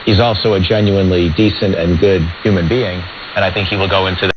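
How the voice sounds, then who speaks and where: An American man giving measured, diplomatic, explanatory answers as a foreign policy expert in an interview. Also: deep voice, steady tone. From United States. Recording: dull lo-fi radio relay with static noise.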